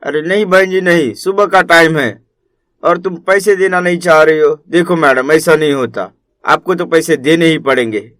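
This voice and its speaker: A man voicing an annoyed, insistent rickshaw driver who complains, in an animated moral story. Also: a little high, normal speed, dry recording, clean studio sound, natural voice. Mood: indignant. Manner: complaining, argumentative.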